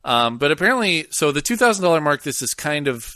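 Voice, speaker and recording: Lively-voiced man, casual podcast chat. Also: on the high side, quick pace, no echo, studio quality. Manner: rambling, hesitant, reads news aloud. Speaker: podcast co-host.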